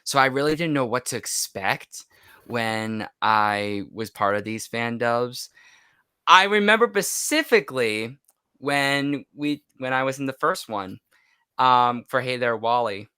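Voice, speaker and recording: A man's voice: mid-range voice, lively intonation, a little slow, bright, forceful tone, clear, resonant tone, dry recording. An American man chatting casually as a podcast guest and telling anecdotes. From United States.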